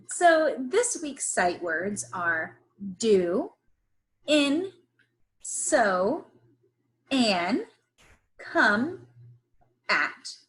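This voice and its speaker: A woman teacher leading a reading lesson for young children, instructing in an encouraging way with pauses for them to repeat. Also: a little high, poor audio. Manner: instructional, encouraging, pausing for repetition. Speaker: early-grades teacher. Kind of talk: slow read-aloud phonics lesson for young children.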